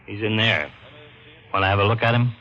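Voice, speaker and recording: An actor playing a weary, no-nonsense police lieutenant with a worn-out voice in an old radio crime drama. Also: male voice, on the low side, a little fast, bright, forceful tone. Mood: businesslike. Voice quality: worn-out sounding. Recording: muffled, slightly noisy old radio recording.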